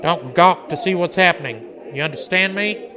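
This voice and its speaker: A man's voice: sits high, normal speed, crowd noise, natural voice. A man playing a stern, world-weary marshal in a Western radio drama, with a commanding, curt manner. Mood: steady, commanding. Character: stern, world-weary male frontier marshal facing down lynch mobs.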